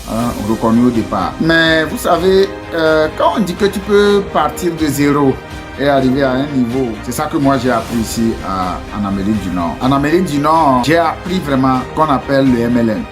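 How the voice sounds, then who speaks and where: A man from Togo with a Togolese French accent, giving a casual motivational talk to his community, hesitant with many fillers and restarts, then pressing with earnest rhetorical questions. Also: medium pitch. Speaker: entrepreneur addressing his community.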